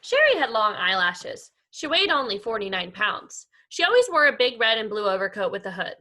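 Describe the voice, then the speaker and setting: A woman teacher reading aloud to young pupils in an expressive, animated storytelling style. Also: medium pitch, a little fast. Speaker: elementary school teacher. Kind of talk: animated children's read-aloud.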